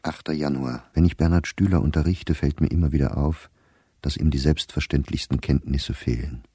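A man's voice: rumbling low, moderate pace, mellow, slightly rough, no room sound, clean studio sound, full character voice. An actor playing a weary, persecuted middle-aged diarist in a radio play, reading his entries in a terse, restrained, bitter way. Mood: weary, sober. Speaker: diary reader in a radio play. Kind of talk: sober literary diary reading.

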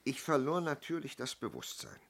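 An actor in a German radio play playing a polite older man giving a statement, husky and halting, courteously over-explaining. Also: mid-range voice, wildly swooping pitch, fairly bright tone, full character voice. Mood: polite, hesitant. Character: polite, hesitant older male robbery victim giving a statement.

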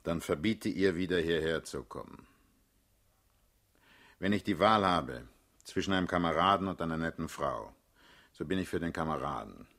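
Very deep, husky, commanding party boss. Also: male voice, lively intonation, normal speed, pauses often, a bit bright, full character voice. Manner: blunt, paternal, brusque. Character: world-weary, commanding male party leader, blunt and sardonic. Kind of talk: German radio drama acting.

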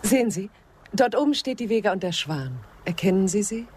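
A woman's voice: medium pitch, animated, fairly quick, darkish tone, a little hiss or hum, lightly acted. An actress playing a reserved, wistful married woman out West, speaking politely and hesitantly in intimate radio drama dialogue.

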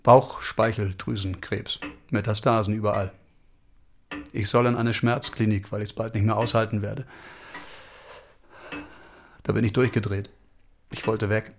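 A man's voice: lowish voice, level tone, measured pace, long pauses, a little hiss or hum. An actor in a German audio drama playing a weary, introverted, ailing man who speaks in a dry, curt way. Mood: resigned. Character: weary, wry, terminally ill man, introverted.

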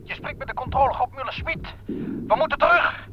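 An actor playing a soldier urgently reporting from the front in a war radio play, terse and military. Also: male voice, extremely high pitch, fairly quick. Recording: muffled old radio recording, faint noise.

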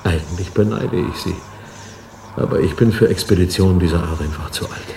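A male actor in a radio play, playing a soothing, wistful elderly scientist in an unhurried, quietly eerie manner. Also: low voice. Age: older. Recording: echoey room with background hum.

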